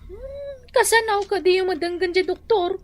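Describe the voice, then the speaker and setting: A woman's voice: extremely high pitch, expressive, normal speed, slight background noise. An actress playing the old farmer's caring daughter who soothes and nags him about his health. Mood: nagging.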